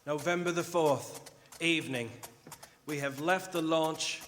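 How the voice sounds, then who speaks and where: A man playing a resolute young gentleman vampire hunter, earnest and purposeful in a live radio drama. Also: fairly high pitch, unhurried. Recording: reverberant stage hall, faint noise.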